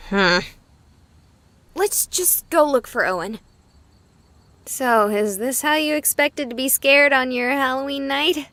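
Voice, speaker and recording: A woman's voice: high-pitched, takes it slowly, long pauses, pushed and bright, no echo, exaggerated voice. An actress voicing a skeptical, exasperated young female game streamer in a visual novel. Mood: teasing.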